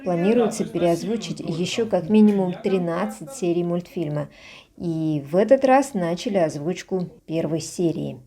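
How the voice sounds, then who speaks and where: A woman's voice: fairly low pitch, normal speed, warm tone. A woman reading a scripted voice-over as narrator of a behind-the-scenes report.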